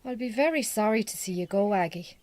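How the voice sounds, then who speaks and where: An Irish farmer's wife, played by an actress in a radio drama, with a very animated mid-range voice. She has an Irish accent and is brisk, warm-hearted and plain-spoken. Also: medium pitch, no echo, natural voice. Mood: fond, encouraging. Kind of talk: naturalistic radio drama acting.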